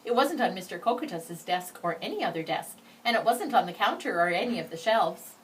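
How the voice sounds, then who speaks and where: A gentle, thoughtful young schoolgirl in a storybook, as read by a woman. She speaks earnestly and clearly, with a swinging, expressive melody. The sound is a little distant, with faint noise behind. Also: medium pitch, fairly quick, close to an everyday voice. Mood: puzzled. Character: gentle young Korean immigrant schoolgirl, thoughtful, proud of her name.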